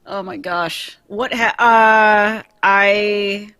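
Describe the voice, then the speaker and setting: A woman chatting casually with friends on a livestream call, wry and deadpan about her own news. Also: medium pitch, drawn-out words. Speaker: cast member. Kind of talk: casual chat.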